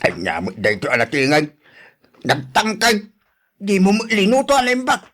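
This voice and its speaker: An actor playing a grumpy, nagging husband in an Ilocano radio drama, with an Ilocano accent and a complaining, exclamatory way of talking. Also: male voice, high-pitched, wildly swooping pitch, normal speed, a bit bright, full character voice. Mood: scolding.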